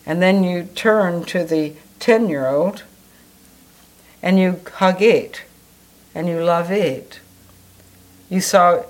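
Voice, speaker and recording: A woman guiding a meditation in a soft, gentle, soothing way. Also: on the low side. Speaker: meditation guide. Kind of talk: guided meditation.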